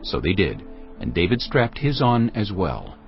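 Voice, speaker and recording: A man narrating scripture from a script in a measured, solemn storytelling manner. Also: low voice, huge pitch swings, muffled recording, soft background music.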